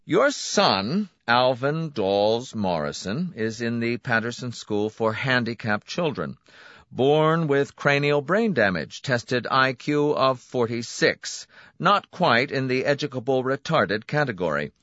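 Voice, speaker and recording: A man voicing a smooth, smiling, menacing clinic director who relishes his words. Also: medium pitch, animated, slow pace, fairly bright tone, no echo, lo-fi sound, pitched above the performer's own voice. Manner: silky, pointed, relishing emphasis.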